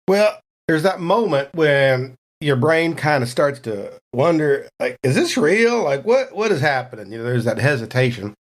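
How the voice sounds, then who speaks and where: A man's voice: fairly high pitch, sing-song, normal speed. A man casually narrating his character's moves as a player in a tabletop game, in folksy, colloquial phrasing.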